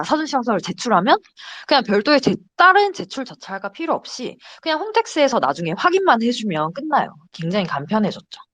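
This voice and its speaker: A woman's voice: fairly high pitch, a bit bright. A young woman in her twenties giving a casual, chatty slide presentation to a study group. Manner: chatty, giggles between points.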